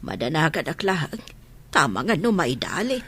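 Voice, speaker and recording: A woman's voice: low voice, sing-song, normal speed, a bit bright, slightly rough, exaggerated voice. A woman acting in a radio drama as a stern but caring old grandmother. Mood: worried.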